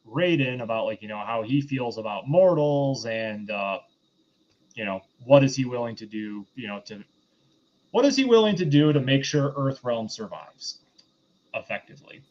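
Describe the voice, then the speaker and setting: A man with an average-pitched voice talks as a podcast host recapping a movie. His delivery is casual and halting, wandering along conversationally. The recording is a little dull and lo-fi. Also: lively intonation, a little mellow. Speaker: podcast host reviewing a film.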